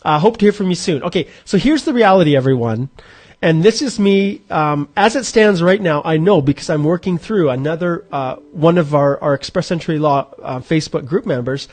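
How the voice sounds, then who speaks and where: A man in his forties speaks in a fairly high voice for a man. He hosts a live online question session, walking viewers through a calculator step by step. His delivery is informal and conversational, with restarts as he thinks aloud. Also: normal speed, a little mellow. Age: 40s. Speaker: immigration advisor hosting a live Q&A.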